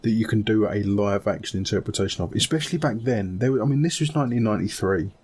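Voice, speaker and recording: A man's voice: fairly low pitch, expressive, fast, darkish tone. Man chatting over background noise. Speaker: podcast panelist. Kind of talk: casual panel chat about films.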